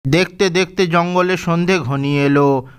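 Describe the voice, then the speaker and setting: Clear high-voiced male storyteller. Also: fairly even intonation, normal speed. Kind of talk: scripted storybook narration.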